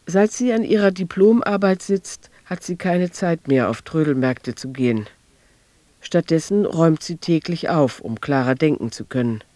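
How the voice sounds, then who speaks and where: A man's voice: on the high side, darkish tone, dry recording. An actor as a precise, matter-of-fact male narrator reading scripted radio drama narration. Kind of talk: scripted present-tense narration.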